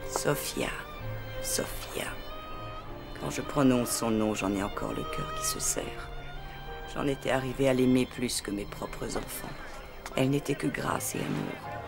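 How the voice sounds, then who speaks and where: A woman playing an elderly, gentle aristocratic grandmother in a cartoon, speaking in a refined, wistful way. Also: extremely low pitch, huge pitch swings, moderate pace, creaky, heavily acted. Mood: wistful, sorrowful. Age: older. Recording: background music under dialogue.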